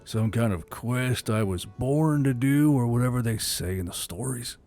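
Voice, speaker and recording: Man playing weary male soldier, sardonic, curt muttering, gruff, mellow tone, faint music behind, audiobook drama. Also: medium pitch, lively intonation, moderate pace, natural voice. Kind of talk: dramatised audiobook character dialogue.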